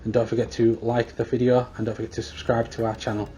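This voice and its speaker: A man's voice: mid-range voice, little pitch movement, fast talker, warm tone. A man presenting a step-by-step product tutorial in a plain, matter-of-fact way. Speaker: shop staff presenter.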